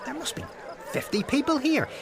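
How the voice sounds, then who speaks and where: An eager, cheeky youngster. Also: male voice, very high voice, moderate pace, a crowd in the background. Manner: excitable, giggly, hesitant.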